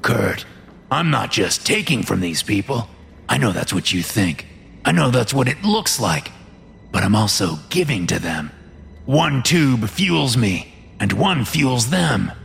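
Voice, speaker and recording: A man voicing a fat, sinister boss in a horror story, measured and coldly persuasive, justifying himself with control. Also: mid-range voice, very animated, vocal fry, fairly bright tone, faint music behind, exaggerated voice. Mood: self-justifying. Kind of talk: audio horror story character.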